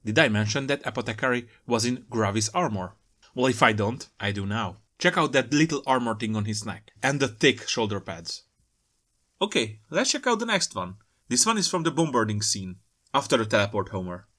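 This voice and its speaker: A man's voice: medium pitch, animated, a little fast, a bit bright. A man giving casual, playful commentary as the host of a hobby video, with jokey asides. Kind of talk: casual scripted-feel commentary on trailer pictures.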